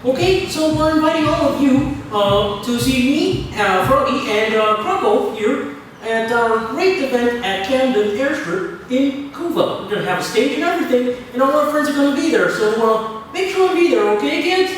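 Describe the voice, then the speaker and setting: A man voicing a friendly, hesitant frog puppet who chats in a halting, muddled way for a children's show. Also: very high-pitched, expressive, slight background noise. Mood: friendly, flustered. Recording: very echoey, distant mic, faint music.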